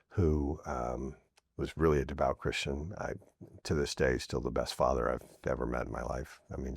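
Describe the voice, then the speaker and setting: A man's voice: extremely low pitch, vocal fry, slightly rough, no room sound, studio quality. A middle-aged man from New Orleans, a podcast guest, talking earnestly and reflectively in a casual interview, often restarting his sentences.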